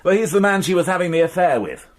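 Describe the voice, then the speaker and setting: An actor playing a wry, devoted police inspector in a radio drama, speaking with dry sarcasm. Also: male voice, sits high, sing-song, fast. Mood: worried. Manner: dry, sardonic. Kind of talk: BBC radio drama acting.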